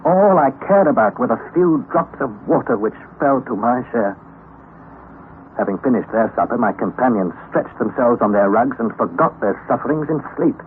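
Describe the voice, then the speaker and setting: A man's voice: medium pitch, lively intonation, normal speed, a little hiss or hum, natural voice. Man playing earnest young adventurer, tinny old radio. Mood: weary, grim. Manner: earnest, dramatic, formal. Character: anxious young nephew narrating a perilous expedition. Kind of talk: old-time radio drama narration and acting.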